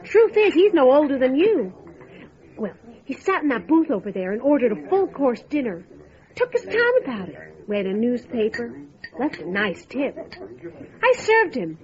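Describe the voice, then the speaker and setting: Lively, high-voiced diner waitress. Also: female voice, moderate pace, dull audio. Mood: musing, gossipy. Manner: musing, expressive storytelling. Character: wry, chatty small-town diner waitress. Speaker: voice actor. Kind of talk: old-time radio revival drama acting.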